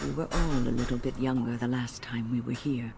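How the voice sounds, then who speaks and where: A wry older woman in a fantasy game, her voice creaky and low. She is dry and chiding, heard in a roomy space with chatter behind her. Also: steady tone, a little fast. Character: sharp-tongued, wry elderly woman companion. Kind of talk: fantasy video game character acting.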